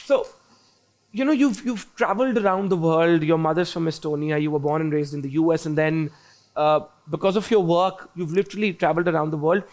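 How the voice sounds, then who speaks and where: A young man with a Pakistani accent hosts a lively chat show. His voice sits high and his delivery is rapid. He jokes with the guest and slips asides in Urdu and Punjabi into the chat. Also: some chatter behind. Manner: playful, teasing, switches into Urdu and Punjabi asides. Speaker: talk show host.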